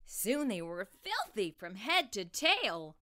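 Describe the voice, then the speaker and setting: A middle-aged woman reading aloud to young children as a storytime host, with expressive, deliberate delivery. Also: medium pitch. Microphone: lapel mic.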